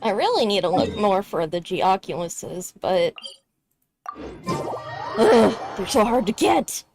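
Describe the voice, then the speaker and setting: Unhurried, animated woman gamer. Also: medium pitch. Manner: informal, talking to herself. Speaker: gamer, streamer. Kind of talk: casual gameplay commentary. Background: game music.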